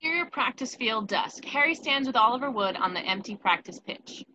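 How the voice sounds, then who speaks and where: A woman reads out the scene directions of a script. Her voice is crisp-edged and on the high side, recorded with slight noise in the background. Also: animated, a little fast, pushed and bright, low-quality recording. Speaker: narrator reading stage directions. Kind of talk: scripted narration in a table read.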